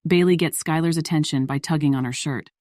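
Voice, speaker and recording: A woman's voice: low voice, fairly quick, a little mellow, no room sound, studio quality. A woman narrator reading scripted story narration for an audio drama.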